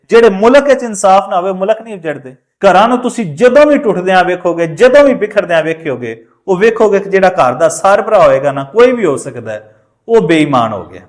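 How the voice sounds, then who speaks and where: High-pitched Punjabi man telling moral tales. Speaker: storyteller. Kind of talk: oral moral storytelling with acted dialogue.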